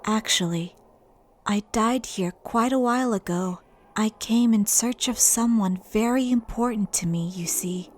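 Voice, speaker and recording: A woman voicing a composed, solemn dead schoolgirl in a game dub, speaking in a measured, formal way. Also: on the high side. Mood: composed, aloof. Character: solemn dead high school paranormalist girl ghost. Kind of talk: anime game fan dub acting.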